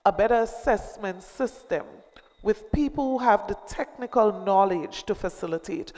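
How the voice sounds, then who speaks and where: A woman panelist answers from the stage, her voice trailing off in the long reverb of a meeting hall. Her pitch sits in a middle range. She explains patiently and measuredly, tagging her points with a questioning "right?". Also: animated, moderate pace, a little mellow. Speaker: party panelist. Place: town hall meeting venue.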